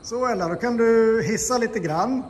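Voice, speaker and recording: Upbeat high-voiced man in echoey workshop. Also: a little hiss or hum. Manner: upbeat, encouraging, coaching. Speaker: vlog host, garage owner. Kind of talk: casual workshop vlog chat. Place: large car workshop, indoors.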